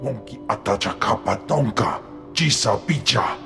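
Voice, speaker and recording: A man's voice: fairly low pitch, normal speed, vocal fry, a bit bright, soft background music. A man voicing a blustering, threatening alien thug in a video game. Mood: menacing. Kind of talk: cinematic video game dialogue in invented alien language.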